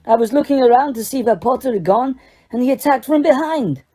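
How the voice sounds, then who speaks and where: A shaken young student, still dazed and mumbling, as a woman reads him. The voice is soft and mellow. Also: high-pitched, animated, a little fast, breathy edge, pitched above the performer's own voice.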